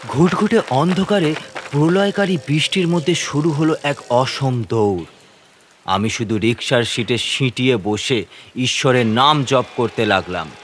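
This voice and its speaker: A man's voice: mid-range voice, a little slow, slight background noise. Guy telling a scary story, dramatic suspenseful narration, mid voice, faint ambience behind. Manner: suspenseful, theatrical. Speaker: narrator and lead character of a horror story. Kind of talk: dramatic first-person horror narration.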